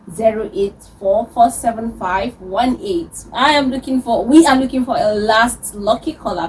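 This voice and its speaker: A woman hosting a radio talk show, relaxed with light laughter. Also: on the high side. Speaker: radio host. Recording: distant mic with faint noise.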